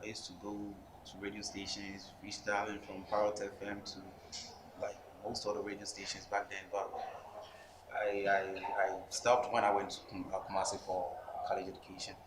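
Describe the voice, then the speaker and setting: A man's voice: on the low side, monotone, moderate pace. A young man in his twenties with a Ghanaian English accent, a rapper answering interview questions earnestly, often restarting his phrases. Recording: echoey room with background noise.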